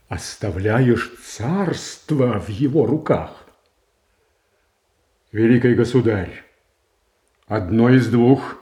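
An actor in a radio play playing a proud, blunt prince who speaks in a solemn, declamatory, reproachful manner. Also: male voice, mid-range voice, warm tone, lightly acted. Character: proud, blunt, indignant old-Russian prince. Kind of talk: theatrical radio drama acting.

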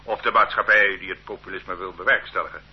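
An actor playing a stern, condescending police officer who lectures a detainee in an authoritarian way in a radio drama. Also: male voice, low-pitched, fairly even intonation, a little fast, breathy edge. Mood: lecturing, condescending. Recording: muffled old radio play recording.

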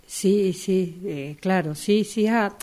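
Evasive, hesitant Argentine woman female actor for radio drama, unhurried, dark-toned, repeating herself awkwardly. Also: mid-range voice, close to an everyday voice. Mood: awkward, polite. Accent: Argentine, Rioplatense. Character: awkward woman feigning recognition of old friend. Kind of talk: radio drama workshop acting.

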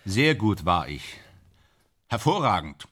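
A man's voice: deep voice, sing-song, slow pace, a bit bright, exaggerated voice. A man in a radio play acting a vain, tormented stage actor, bitter and self-dramatising, with a theatrical, declamatory manner that swings between muttering and outbursts. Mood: bitter pride.

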